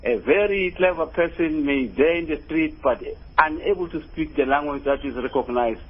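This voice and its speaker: A man's voice: on the high side, moderate pace, muffled recording. A South African man calling in to a radio show, giving his earnest opinion in an emphatic way, repeating words as he goes. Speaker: radio phone-in caller.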